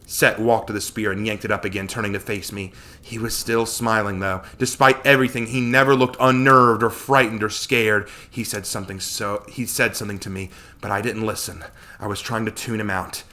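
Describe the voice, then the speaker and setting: Bright, forceful male story narrator. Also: on the low side, level tone, a little fast. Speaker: story reader. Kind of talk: dramatic scripted narration of a fantasy story.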